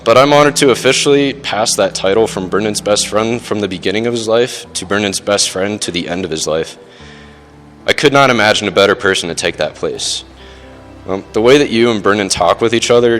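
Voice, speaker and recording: Low-voiced young man giving best man speech. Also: fairly bright tone. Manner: self-deprecating dry humor, halting. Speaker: best man, groom's older brother. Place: wedding reception, speaking to guests.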